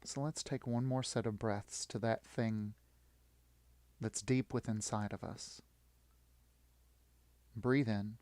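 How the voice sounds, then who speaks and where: Male meditation guide, fairly low voice, reassuring delivery in short spaced phrases, recorded very dry. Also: fairly even intonation, darkish tone, dry recording. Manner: soothing, encouraging, with long pauses. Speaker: yoga nidra guide.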